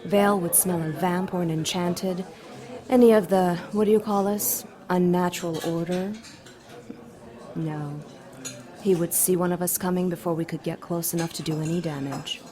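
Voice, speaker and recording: A woman's voice: on the low side, steady tone, moderate pace, warm tone, close to an everyday voice. A woman voicing a seductive, imperious demon queen in an audio drama, coy, mocking and theatrical. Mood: haughty, indignant. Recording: crowd chatter behind the voice. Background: crowd chatter.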